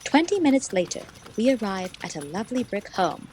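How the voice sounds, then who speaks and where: Woman acting as a detective's sidekick, radio play narration, eager, dramatic, animated pitch, faint noise. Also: a little fast, close to an everyday voice. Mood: eager narrating. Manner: expressive, dramatic.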